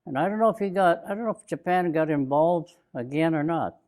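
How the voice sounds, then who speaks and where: A man in his nineties from Bainbridge Island, Washington, telling his own memories in an oral history interview, slow and reflective, halting with fillers and restarts. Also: a little high, lively intonation, darkish tone. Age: 90s. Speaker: interviewee, WWII-era Japanese American. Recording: interview recording.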